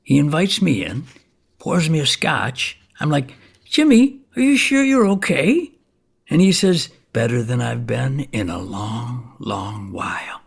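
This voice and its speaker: Excitable amateur vampire hunter in comic audio drama: gravelly, swooping delivery, folksy and conversational. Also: male voice, mid-range voice, measured pace, full character voice. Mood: amazed, baffled. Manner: animated, conversational, acts out dialogue. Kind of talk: comic first-person storytelling monologue.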